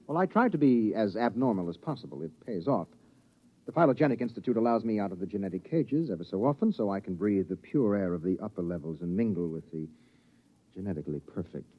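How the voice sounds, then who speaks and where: Wry, expressive outcast mutant. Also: male voice, on the low side. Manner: theatrical, ironic, deliberate phrasing. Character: wry, educated, outcast male mutant specimen, sensitive and bitter. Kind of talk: 1950s radio drama acting. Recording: muffled old radio recording.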